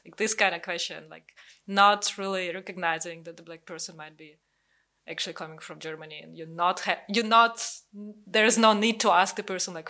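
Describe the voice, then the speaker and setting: Bright-voiced woman. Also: medium pitch. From Russia. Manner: animated, full of "like, you know" fillers. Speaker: discussion participant. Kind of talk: casual discussion.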